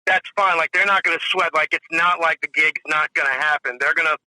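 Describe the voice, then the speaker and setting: A man's voice: fairly high pitch, fairly even intonation, a little fast, sharp tone, dry recording, low-quality recording. A man in his forties, a drummer guest telling rambling stories in a casual interview, full of "you know" and "if you will". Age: 40s.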